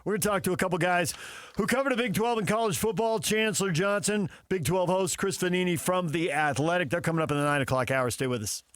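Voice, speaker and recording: Higher-voiced male sports radio host, dry studio. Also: quick pace, a bit bright, no echo. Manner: conversational, banter. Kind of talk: casual sports talk radio.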